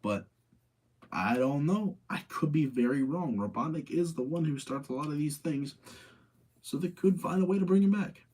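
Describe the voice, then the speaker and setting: Mellow-voiced man, rambling and tired. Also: a little high, lively intonation, normal speed, breathy edge. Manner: rambling, thinking aloud, repeating himself. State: tired late at night. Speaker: streamer hosting a movie rating session. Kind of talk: casual opinionated chat with live audience.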